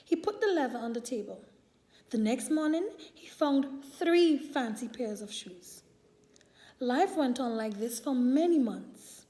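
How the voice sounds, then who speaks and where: Female storyteller, high and soft-toned, taking her time over a picture book for little kids. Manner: deliberate, expressive delivery for young children. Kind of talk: children's read-aloud storytelling.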